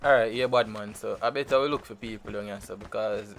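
A man's voice: fairly low pitch, fairly even intonation, fairly quick. A man with a Jamaican accent giving loose, rambling commentary over his mobile shooter gameplay, full of fillers and repeats. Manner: laid-back and rambling, with many fillers and repeats. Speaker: gaming channel host.

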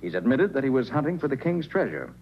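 An actor playing a confident male private detective in 1940s radio style, with a brisk, authoritative manner. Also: mid-range voice, big pitch swings. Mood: explaining. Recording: muffled, noisy 1940s radio recording.

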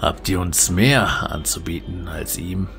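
Man doing a deep, hoarse old pirate admiral. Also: fairly bright tone, faint music behind, full character voice. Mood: cold challenge. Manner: slow, challenging.

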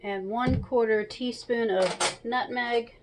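A woman's voice: on the high side, fairly even intonation, slow. A woman in her thirties giving a casual, chatty cooking tutorial at home, talking through each step. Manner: chatty, instructional. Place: small home kitchen, indoors. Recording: clear background noise and clicks.

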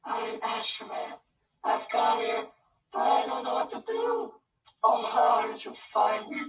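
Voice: medium pitch, very animated, takes it slowly, reverberant, distant mic, a little hiss or hum, pitched above the performer's own voice, poor audio. A voice actor playing a shy, whiny male space robot who gets easily embarrassed.

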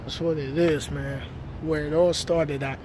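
A man's voice: fairly high pitch, fairly even intonation, measured pace. A middle-aged man with a New York accent, casually vlogging while walking outdoors at night, talking in a laid-back, reflective way with street slang, recorded on a phone held at arm's length. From New York City. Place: outdoors at night on city streets and housing project grounds. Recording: outdoor street noise with passing traffic.

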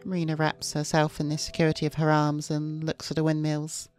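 Female narrator for a visual novel: low, measured, little pitch movement, clear music underneath. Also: monotone, darkish tone. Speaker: VTuber host reading a game aloud. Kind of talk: scripted first-person narration. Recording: music behind the voice.

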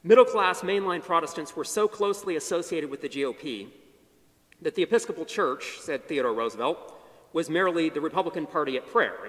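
A middle-aged man giving an academic history lecture from a podium on a large chapel stage, measured, with emphatic phrase openings that fall to low endings. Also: fairly bright tone. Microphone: podium mic. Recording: long hall reverb.

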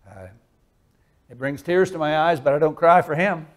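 An older man in his sixties preaching a sermon from a lectern in a small chapel, moving between loud emphasis and soft, hushed lines while gesturing with his hands. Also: a little high, moderate pace. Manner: animated preaching, wide swings from loud emphasis to soft hush. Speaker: pastor.

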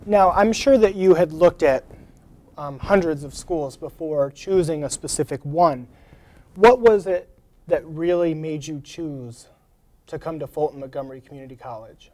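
A man's voice: fairly high pitch, moderate pace, a little mellow. A young man hosting a student talk show in a small college TV studio, asking friendly, prepared questions with some "um"s. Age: young adult. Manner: friendly, scripted questions with fillers. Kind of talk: studio interview. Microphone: lapel mic.

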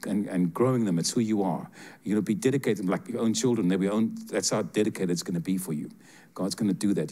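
Older man preaching, animated and direct. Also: on the low side. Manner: animated, joking, direct address to listeners. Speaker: guest preacher giving prophetic words. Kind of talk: prophetic ministry to congregation. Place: church sanctuary with seated congregation. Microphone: handheld mic.

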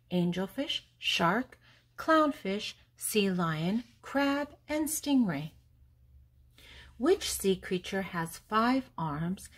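Slow, husky older woman reading to children. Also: medium pitch. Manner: deliberate, teacherly, pausing between words. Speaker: host reading a children's picture book aloud. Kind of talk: read-aloud for young children, with questions and answers.

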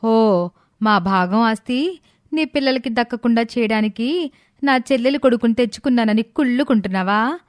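A woman playing a sharp-tongued, spiteful daughter-in-law who speaks with sarcasm and scorn. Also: on the high side, moderate pace, dry recording, studio quality, close to an everyday voice. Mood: gloating, threatening.

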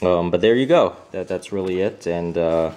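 A man's voice: sits low, moderate pace, a little mellow. A man walking through a printed manual off camera, talking in a hesitant way with many fillers and restarts. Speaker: home fixer-upper showing a manual. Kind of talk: casual off-camera walkthrough.

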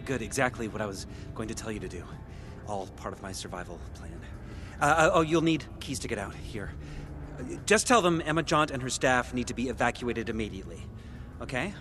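An actor playing a flustered, self-important man in a video game, hurried, muttering and hesitant. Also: medium pitch, lively intonation, a bit bright, soft background music, lightly acted. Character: flustered, self-important male survivor issuing orders. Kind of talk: video game cutscene acting.

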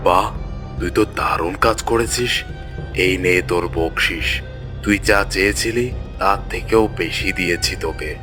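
A man's voice: deep voice, moderate pace, bright, forceful tone. A man voicing a greedy, domineering village landlord in a cartoon fairy tale, with a bossy, pompous manner. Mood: pleased. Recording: music behind the voice. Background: music.

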